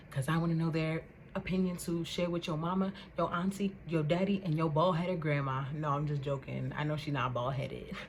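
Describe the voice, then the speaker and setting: A young woman vlogger with a loud voice, ranting casually to the camera in an animated, indignant way and repeating words for emphasis. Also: low-pitched, slight background noise.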